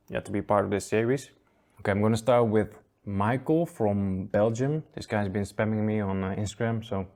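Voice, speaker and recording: A man's voice: on the low side, a little mellow. A man hosting a casual review show, easygoing and chuckling often as he trails off and restarts sentences. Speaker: aquascaping channel host.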